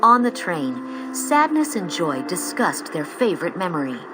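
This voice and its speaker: Animated, lowish-voiced woman storyteller. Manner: expressive, measured storytelling. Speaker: storybook narrator. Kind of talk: scripted storybook narration. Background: music.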